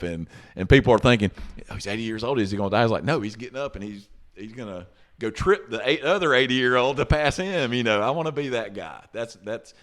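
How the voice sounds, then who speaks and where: A man in his forties hosting a podcast, talking casually and enthusiastically, often repeating words as he goes. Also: sits low. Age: 40s. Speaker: podcast host.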